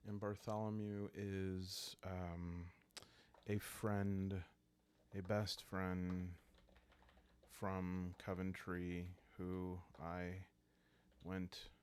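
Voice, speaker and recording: Very slow, low-voiced calm man. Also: smooth. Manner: calm, thinking aloud in short halting phrases. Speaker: host and solo player of a journaling roleplaying game. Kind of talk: calm, soothing improvised solo roleplay narration for sleep listening.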